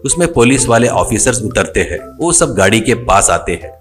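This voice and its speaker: A man's voice: mid-range voice, very animated, normal speed. A man narrating a scripted moral story as a storyteller. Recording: music under the voice. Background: background music.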